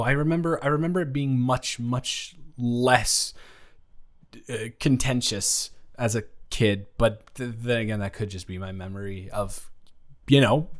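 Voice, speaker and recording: A young American man playing a chatty, awkward best friend in an improvised audio roleplay, talking casually and often interrupting himself. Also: medium pitch. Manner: rambling, halting, self-interrupting. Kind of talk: improvised boyfriend-style audio roleplay, casual one-sided chat.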